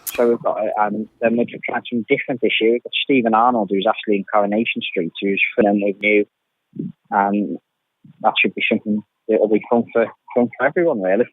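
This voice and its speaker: A man with a fairly low voice gives informal interview answers over a thin, phone-like sound. The sound is dry, and he often doubles back and repeats his words as he explains things. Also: fairly even intonation, fast, no echo. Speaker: interviewed pantomime producer.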